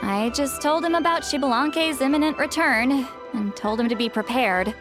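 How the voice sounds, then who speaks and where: A woman's voice: high voice, normal speed, over music, lightly acted. A woman playing a proud, easily huffed lady with a youthful voice in a video game, haughty in manner. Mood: huffy, proud. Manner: huffy, haughty. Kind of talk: video game character acting.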